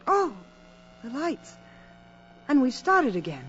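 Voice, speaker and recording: A woman's voice: high-pitched, big pitch swings, slow, a bit bright, dull audio, slight background noise, full character voice. An actress playing an earnest, anxious, principled American woman, pleading and urgent in 1950s radio drama style. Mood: hushed, worried. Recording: old radio recording, music underneath.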